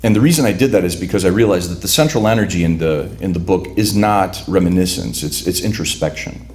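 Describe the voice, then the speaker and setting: A man with a fairly low voice reads from his own book at a literary evening. He talks reflectively, with hesitations and repeated words, and Lithuanian is his first language. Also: darkish tone, a little hiss or hum. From United States, raised among Lithuanian migrants. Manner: reflective, halting with repeated restarts. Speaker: author. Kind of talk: reading his prose at a literary event.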